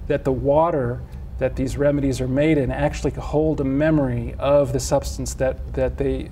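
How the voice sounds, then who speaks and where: Man, middle-aged, from Switzerland, relaxed warm voice, measured explaining, faint hiss. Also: medium pitch. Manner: measured, explanatory, with frequent restarts. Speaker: physician and homeopath guest. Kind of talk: interview answers.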